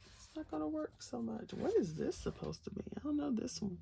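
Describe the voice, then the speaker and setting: A woman's voice: sits high, sing-song, a little fast, gravelly, background noise. A woman chatting casually and unscripted to viewers as she hosts a flip-through, in a rambling, folksy, playful way. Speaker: host of a colouring book flip-through. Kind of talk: casual unscripted chat to viewers over book pages.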